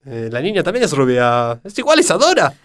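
Excitable, high-pitched dim sidekick. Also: male voice, brisk, slight background noise. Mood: exclamatory. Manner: eager, exclamatory, naive. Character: excitable dim-witted male sidekick of detective. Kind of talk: parody radio play acting. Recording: music behind the voice.

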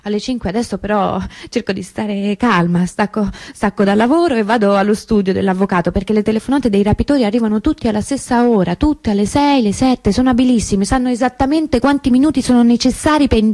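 An actress playing an anguished young woman searching for her missing sister in a radio theatre monologue, confiding and halting, with emphatic questions. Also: a little high, mellow, no room sound, studio quality, natural voice. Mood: strained, restless.

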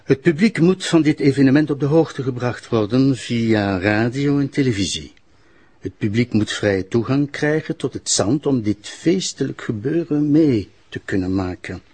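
A man playing a measured, formal public prosecutor in a crime drama. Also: medium pitch, darkish tone, slightly rough, natural voice. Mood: grave, formal. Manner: composed, formal. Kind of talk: crime audio drama acting.

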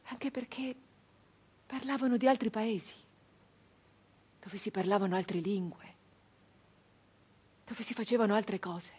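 An actress performing a theatrical radio monologue as a wistful, dreamy peasant woman recalling her girlhood, with halting repetitions and a breathy delivery that moves between whispers and fervour. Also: mid-range voice, darkish tone. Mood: hushed, uneasy. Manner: halting repetitions, dropping into breathy whispers and swelling to fervent cries. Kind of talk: theatrical radio monologue, dramatic first-person storytelling.

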